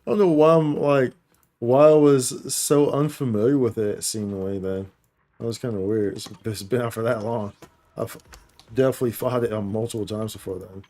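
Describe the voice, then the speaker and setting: A man's voice: mid-range voice, mellow. A man livestreaming casual gameplay commentary in a slangy, dude-heavy way. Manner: slangy, addresses game and viewers as dude and boy. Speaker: game livestreamer. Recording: game music behind voice. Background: game music.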